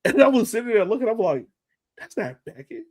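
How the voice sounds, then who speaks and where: A man's voice: very high voice, sing-song, a little fast, gravelly, a little mellow. A man hosting a casual soccer podcast, chatting in a slangy, informal way. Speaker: podcast host.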